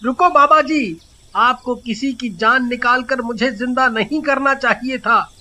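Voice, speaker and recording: An earnest young man in love acted by a man speaks in an extremely high, piercingly bright voice. He is a tender-hearted figure in a horror story. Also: unhurried, full character voice. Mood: hurt, earnest.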